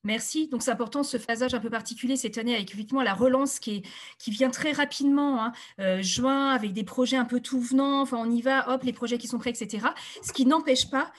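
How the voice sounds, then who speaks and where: Female, fairly high voice, meeting moderator, conversational, loosely phrased. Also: quick pace. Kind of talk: informal moderating of an online meeting.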